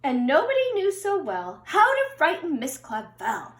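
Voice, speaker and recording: A middle-aged woman reading a picture book aloud to young children in an expressive singsong way, recorded in a room at home. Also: high-pitched. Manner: slow, deliberate, singsong storytelling addressed to children. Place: indoors upstairs at home. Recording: roomy home recording with echo.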